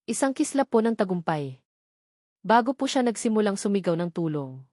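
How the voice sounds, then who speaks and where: A woman's voice: medium pitch, very animated, normal speed, darkish tone, no room sound, studio quality, close to an everyday voice. A woman with a Filipino accent voicing a frightened, humble elderly nanny in an audiobook, trembling and tearful. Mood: weeping. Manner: sobbing, trembling.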